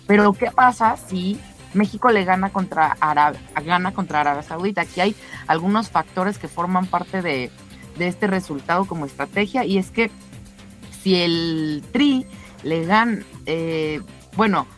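A woman's voice: fairly low pitch, a little slow, a bit bright. Chatty Mexican woman presenting, music underneath. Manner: chatty, addresses co-hosts directly. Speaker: radio show co-host presenting sports news. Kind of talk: conversational sports news report.

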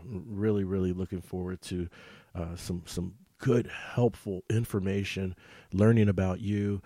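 A man's voice: on the low side, mellow, no room sound, clean studio sound. A man hosting a podcast, opening the show and welcoming his guest with emphatic delivery. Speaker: podcast host.

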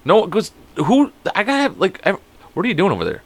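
Lively, crude-talking man at home. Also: high voice, fast talker, dry recording. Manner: crude, joking, swears a lot. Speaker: podcast co-host. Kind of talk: casual chat.

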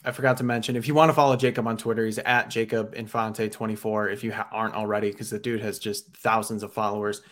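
A man's voice: fairly low pitch, little pitch movement, fast, hardly any pauses, fairly bright tone. Easygoing man hosting a podcast. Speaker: podcast host. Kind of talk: casual podcast chat.

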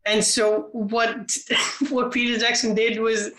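A man's voice: very high voice, flat delivery, slow, sharp tone, roomy sound, low-quality recording. A man co-hosting a film podcast, rambling through casual chat with lots of filler words. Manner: rambling, many "like" fillers, laughs often. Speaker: podcast co-host.

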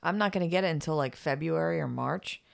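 A woman co-hosting a podcast in casual chat, with a gravelly voice and a drowsy, yawning manner. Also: low-pitched, fast, dry recording. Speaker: podcast co-host. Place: United States.

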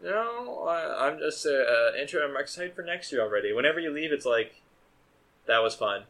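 A man's voice: on the high side, sing-song, normal speed, pushed and bright. A young man co-hosting a podcast, chatting casually with enthusiasm and lots of stammered restarts. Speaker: podcast co-host. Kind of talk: casual chat.

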